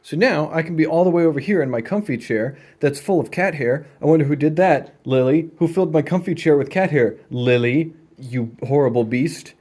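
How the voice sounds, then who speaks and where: A man's voice: fairly high pitch, a little fast, hardly any pauses, a little mellow. A man hosting a casual, unscripted gear demonstration at home, laid-back and playful with dry humour. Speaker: video host demonstrating vintage audio gear. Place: indoors in a room at home.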